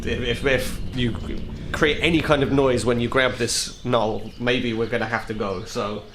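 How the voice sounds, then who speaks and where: Male game player, medium pitch, casual improvised role-play, roomy sound over music. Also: steady tone, normal speed, a bit bright, slight background noise. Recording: roomy echo with music underneath.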